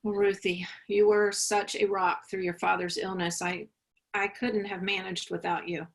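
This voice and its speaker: A woman's voice: mid-range voice, flat delivery, moderate pace, close to an everyday voice. A woman playing a placating, self-absorbed mother with a career in law, in a staged reading of a play.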